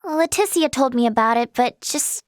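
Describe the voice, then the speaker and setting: Teenage girl game healer, high light voice, sweet, timid, earnest, polite, dry studio sound. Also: lively intonation, normal speed, no room sound. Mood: curious, polite. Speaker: voice actress. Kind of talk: video game character voice lines.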